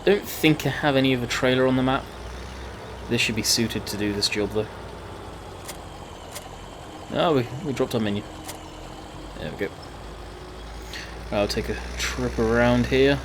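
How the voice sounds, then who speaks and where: Man, slightly hoarse, casual game commentary, mid pitch, rambling, faint engine hum behind. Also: unhurried, pauses often. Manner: rambling, chatty, self-correcting. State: slightly croaky voice. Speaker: gaming video host.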